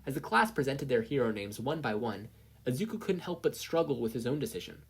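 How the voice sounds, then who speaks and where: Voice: mid-range voice, sing-song, fairly quick, breathy edge. A narrator reading fan fiction aloud expressively, as a story with character voices. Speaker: podfic reader, narrator.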